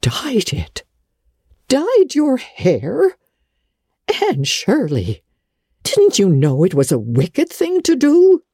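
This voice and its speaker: A woman voicing a stern older spinster guardian who scolds briskly and sarcastically. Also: on the high side, huge pitch swings, dark tone, husky, dry recording, studio quality, pitched above the performer's own voice, heavily acted. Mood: scolding. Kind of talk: audiobook character reading.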